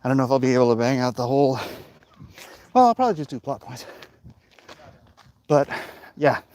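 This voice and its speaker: A man's voice: mid-range voice, sing-song, a little fast, a little mellow. A man talking casually and ramblingly with jokey asides, as a vlog host out on a walk. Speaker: vlog host, comic book creator. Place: outdoors on a street walk. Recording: outdoor street noise, passing vehicles.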